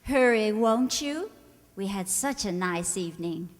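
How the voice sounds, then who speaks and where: A woman's voice: mid-range voice, expressive, measured pace, lightly acted. A woman playing a lonesome, coaxing wife who calls out in a radio play. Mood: calling, coaxing. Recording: distant, echoey hall reverb.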